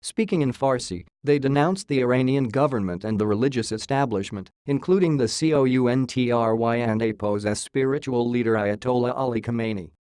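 A man's synthetic voice reading a scripted news report in a flat, read-out manner. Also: medium pitch, moderate pace, hardly any pauses, smooth, no echo, clean studio sound. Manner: flat synthetic read-out, spells out abbreviations and symbols. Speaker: news reader.

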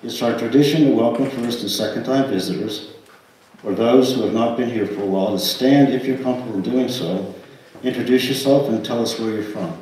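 An older man reading a formal, measured welcome to a congregation as a church trustee. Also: mid-range voice, darkish tone. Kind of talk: scripted service welcome and announcements. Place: small church sanctuary, indoors. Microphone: pulpit microphone, picked up by distant camera. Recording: echoey church hall, distant mic, faint noise.

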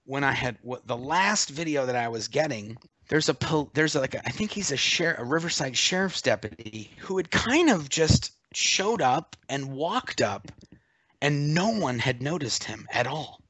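A man in his forties on a video call, casually telling a story in an animated way and acting out what others said. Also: mid-range voice, normal speed, dry recording. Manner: animated, acts out quoted voices, laughs as he tells it. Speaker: interview guest.

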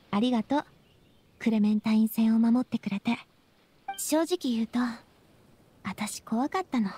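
A woman's voice: high-pitched, darkish tone. A woman voicing a young female gang boss in an anime game, brave but weary and vulnerable, speaking frankly and casually. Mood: composed, kind. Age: young adult. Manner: casual, frank, feminine speech. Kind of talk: Japanese anime game voice acting. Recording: music behind the voice.

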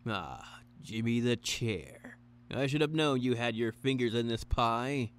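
A man playing an earnest, bumbling detective who deliberately narrates his own moves in a noir audio drama. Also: medium pitch, lively intonation, clean tone, natural voice. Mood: knowing, wry.

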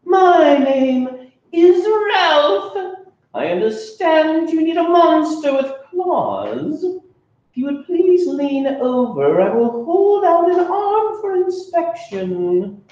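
Ralph, a fussy, well-groomed storybook monster with a silky, high, affected voice and a slow, drawn-out delivery, as a man puts him on. The read sounds dull and roomy, with a strong echo. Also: sing-song, a little mellow, recorded from a distance, full character voice. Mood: prim.

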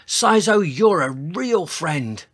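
A reader voicing a motherly, decisive female dinosaur with an emphatic, warm-hearted manner in a children's storybook. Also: medium pitch, lively intonation, slow pace, slightly rough, voice pushed up, full character voice. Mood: grateful.

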